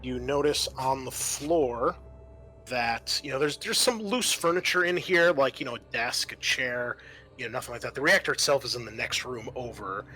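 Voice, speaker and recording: A man narrating a tabletop roleplaying game as game master, in a halting, descriptive manner. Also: mid-range voice, sharp tone, husky.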